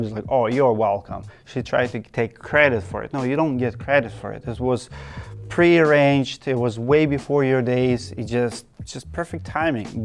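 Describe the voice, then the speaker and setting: A man from Russia with a Russian accent, hosting his channel and giving earnest, direct advice to camera point by point. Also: mid-range voice. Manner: earnest, direct, list-by-list advice with emphatic repetition. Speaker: channel host.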